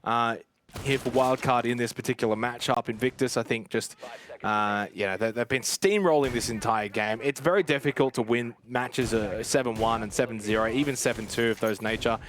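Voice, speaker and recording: A man giving fast play-by-play commentary on an esports match, his voice leaping up at big plays. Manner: excitable, voice jumps high at big plays. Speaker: esports caster.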